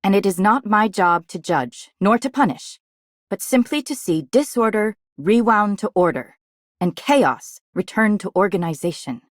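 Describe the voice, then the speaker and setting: A woman's voice: medium pitch, animated, normal speed, no room sound, natural voice. Actress as composed woman, game character, formal, resolute, middle register, dry close recording. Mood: solemn, resolute. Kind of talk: scripted game character voice line.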